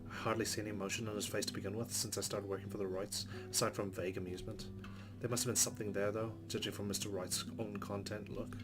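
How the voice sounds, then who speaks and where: A man's voice: fairly low pitch, little pitch movement, quick pace. A man hosting a let's play, reading visual novel narration aloud and commenting as he goes. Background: guitar game music.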